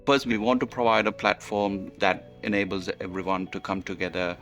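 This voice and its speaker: A man answering interview questions as a company co-founder, explaining in a measured way with frequent fillers. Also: lowish voice, a bit bright, faint music behind.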